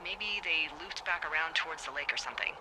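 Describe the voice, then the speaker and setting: An actress voicing a wry, teasing American fire lookout supervisor in a video game, with a dry, playful and sarcastic manner. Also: female voice, low voice, fairly quick, heavy background noise, lightly acted. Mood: dry, practical. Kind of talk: naturalistic video game dialogue. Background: background music.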